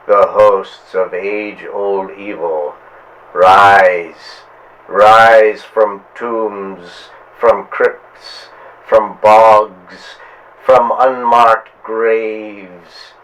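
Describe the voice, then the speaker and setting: A man hosting and narrating a pulp radio serial with portentous delivery and deliberate pauses. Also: on the low side, a bit bright, dull audio. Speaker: host and narrator.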